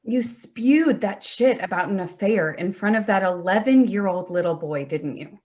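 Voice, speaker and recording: A woman's voice: medium pitch, lively intonation, moderate pace, a little mellow, close to an everyday voice. A woman acting in a staged play reading as a hurt adult daughter, insistent and accusatory. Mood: accusing. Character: Danielle, wounded, accusing adult daughter confronting betrayal.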